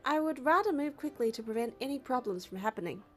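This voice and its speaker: Female narrator, high voice, scripted game story reading with music underneath. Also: animated, quick pace, a bit bright. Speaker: narrator and reader of a game playthrough. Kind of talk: scripted story narration with dialogue read aloud. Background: background music.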